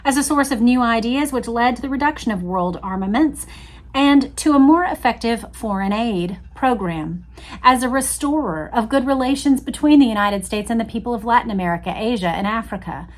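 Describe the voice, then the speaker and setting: High-voiced woman reading along and commenting. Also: animated, a little fast. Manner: conversational, musing, addresses viewers with questions. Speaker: host reading and commenting on an old magazine. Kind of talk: casual read-along commentary to viewers.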